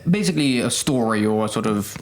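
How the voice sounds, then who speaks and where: A teenage male podcast co-host making a casual announcement in a halting, explanatory way. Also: lowish voice, lively intonation, slight background noise. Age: teens. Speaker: student podcast co-host and coordinator.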